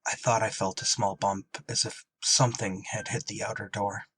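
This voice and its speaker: An actor playing a somber, haunted shuttle pilot in an audio drama, grave and restrained. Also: male voice, fairly low pitch, little pitch movement, normal speed, fairly bright tone, breathy edge. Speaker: lead actor and narrator.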